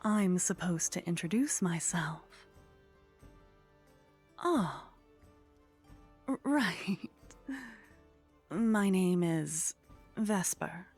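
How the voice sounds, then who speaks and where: Woman voicing an ancient vampire seductress, breathy and husky, lowish pitch, teasing, haughty, ASMR roleplay, faint music behind. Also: a little mellow, exaggerated voice. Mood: sheepish, polite. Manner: slow, teasing, breathy murmur slipping into whispers. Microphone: close microphone.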